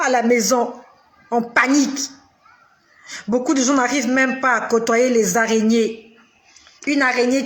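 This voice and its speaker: A woman in her forties preaching a sermon straight to the listener, with slow, emphatic repetition and dramatic pauses. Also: fairly high pitch, a bit bright. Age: 40s.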